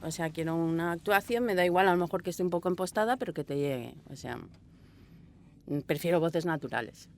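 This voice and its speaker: A woman from Spain speaking as a director in an interview, expressive and rambling, telling things informally in a sound booth. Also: on the low side, brisk, a little hiss or hum. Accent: Spanish (Spain). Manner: expressive, rambling, acts out quoted dialogue. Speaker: audio drama director being interviewed. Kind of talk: informal, anecdotal interview answers.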